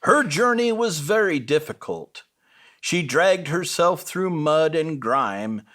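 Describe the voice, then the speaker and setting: A man tells a children's bedtime story in an expressive reading style. His voice sits a little high, swings widely in pitch and moves at a slow, measured pace.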